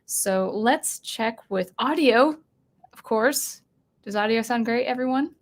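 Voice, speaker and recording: A woman's voice: on the high side, expressive, takes it slowly. A woman hosting a casual livestream chat, talking off the cuff with fillers and restarts, at a desk mic in a home studio. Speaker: livestream host.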